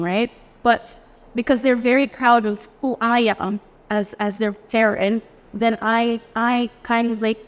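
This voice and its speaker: A woman from the Philippines with an American accent, telling chatty stories as a panelist. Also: on the high side. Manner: chatty, anecdotal, quoting others. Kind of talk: panel discussion answer. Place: conference hall. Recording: long hall reverb.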